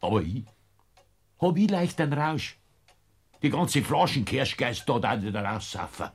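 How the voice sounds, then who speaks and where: A stubborn, crafty old Bavarian in a radio comedy. His husky voice sits in the middle range and jumps about with lively inflection. He speaks in earthy Bavarian dialect, gruff one moment and coaxing the next. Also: mid-range voice, a little slow, exaggerated voice. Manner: gruff, then jovially coaxing. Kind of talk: classic radio play acting.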